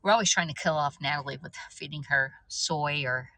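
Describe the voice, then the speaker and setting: Woman, 50s, livestream host, chatty and rambling, lowish bright voice. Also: a little fast, no echo. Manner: rambling, chatty, talks to viewers by name. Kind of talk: casual livestream chat.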